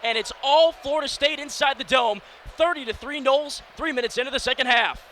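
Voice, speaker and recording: A man in his early twenties calling live football play-by-play on radio with energetic, clipped phrasing. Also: extremely high pitch, a little fast. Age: early 20s. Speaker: play-by-play announcer. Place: sports broadcast position inside a domed stadium. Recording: steady stadium noise behind. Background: stadium ambience.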